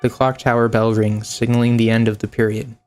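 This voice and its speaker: A young man streaming, reading a visual novel aloud in a relaxed, casual way. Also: mid-range voice, a little fast. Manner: relaxed, casual, giggly asides.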